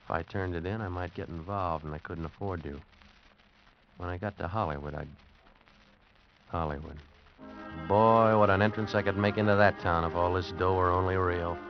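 Give the part a cynical, down-on-his-luck drifter with a low, hard-boiled voice. He tells his tale wryly, in old radio adventure style. The sound is muffled and vintage, with music behind. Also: fairly even intonation, moderate pace, long pauses. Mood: scheming, wistful. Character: world-weary cynical broke actor narrating his story. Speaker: actor in a radio drama. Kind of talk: 1950s radio adventure drama narration.

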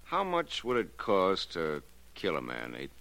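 A low, forceful male voice for a ruined man seeking revenge in a 1940s radio crime play. He is courteous on the surface and grimly determined, with lively shifts in pitch. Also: a little slow, full character voice. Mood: cold, vengeful. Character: ruined, bitter male client coldly bent on revenge.

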